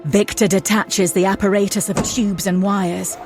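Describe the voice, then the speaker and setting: A woman's voice: medium pitch, flat delivery, normal speed, over music. A woman narrating an audio drama in a dramatic, scripted storytelling style.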